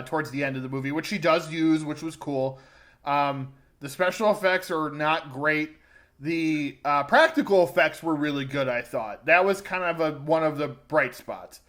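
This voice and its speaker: A man's voice: a little high, moderate pace, a bit bright. A man co-hosting a podcast, giving an informal, opinionated film review in a conversational way. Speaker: movie podcast co-host. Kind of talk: casual film review chat.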